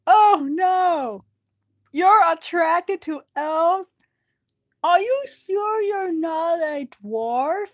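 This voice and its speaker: A woman voicing a teasing male dwarf in loud, drawn-out mock horror. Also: extremely high pitch, lively intonation, no echo, clean studio sound, voice pushed up, exaggerated voice. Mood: mock shock.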